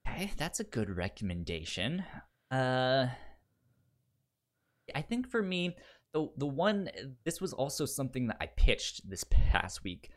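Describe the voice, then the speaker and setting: A man's voice: mid-range voice, very animated, unhurried. A man chatting casually as a podcast host giving recommendations, stuttering and repeating syllables and words as he goes. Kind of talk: casual film chat.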